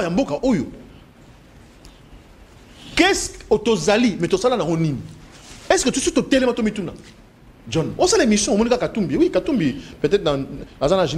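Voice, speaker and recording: Man, congolese french accent, fairly high voice, emphatic studio debater, swinging pitch. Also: darkish tone. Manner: emphatic, gesturing, swinging pitch, switching between French and Lingala. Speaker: political debate guest. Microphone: desk microphone.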